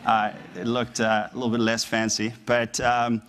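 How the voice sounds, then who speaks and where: Thirties, South African accent worn down by America, guest lecturer, halting and repetitive delivery. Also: male voice, mid-range voice, monotone, a bit bright. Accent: South African, softened by years in America. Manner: conversational, halting, often repeating words. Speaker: guest speaker. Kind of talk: public talk to a university audience. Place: university lecture room.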